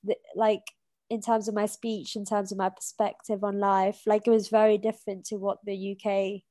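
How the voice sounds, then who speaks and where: A woman's voice: medium pitch, flat delivery, moderate pace, mellow, dry recording. Young british-accented woman on a podcast, kinda hesitant, lots of ums, dry sound. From Kenya. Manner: reflective, hesitant, many fillers and restarts. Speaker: podcast guest. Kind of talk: casual conversation.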